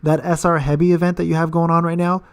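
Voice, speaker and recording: A man's voice: fairly high pitch, flat delivery, fast talker, clean tone. A man in his thirties hosting a casual live-stream Q&A, rambling with repeated words and fillers. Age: 30s. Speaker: gaming channel host.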